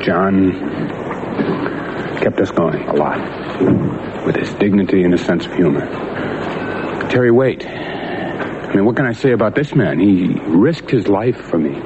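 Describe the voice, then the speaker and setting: A man giving a heartfelt, halting public tribute. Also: sits low, lively intonation, dull audio, noisy background. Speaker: released hostage. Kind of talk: emotional public statement.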